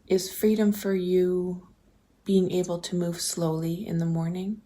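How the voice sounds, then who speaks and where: Slow, low, creaky woman guiding meditation. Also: level tone. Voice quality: soft, breathy, often slipping toward a whisper. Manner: gentle, deliberate, posing open questions with pauses. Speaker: guided visualization host. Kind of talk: slow guided meditation, reflective questions.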